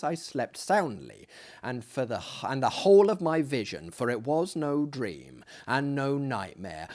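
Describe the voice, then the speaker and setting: Man, theatrical storyteller, declamatory and hammy, very animated intonation, slow measured pace, dramatic swells and hushes. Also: medium pitch. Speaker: reader and host of dramatic readings.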